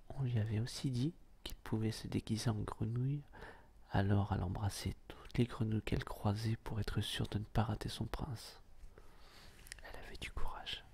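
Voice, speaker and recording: A man speaks in a breathy whisper with a gravelly edge. He chats casually and close, as an ASMR host would, teasing the listener playfully and intimately. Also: on the low side, fairly even intonation, moderate pace, darkish tone.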